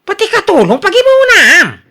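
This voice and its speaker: Woman acting an animated, bright-voiced exasperated spouse. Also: very high voice, normal speed, heavily acted. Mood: surprised, protesting. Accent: Ilocano. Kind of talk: Ilocano radio drama acting.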